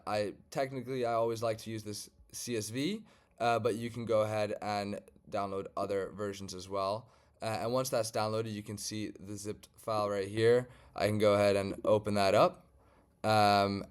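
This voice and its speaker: Smooth-voiced German data scientist. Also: male voice, lowish voice. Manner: relaxed, conversational, frequent "um" and "uh" fillers. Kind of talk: screen-share tutorial walkthrough.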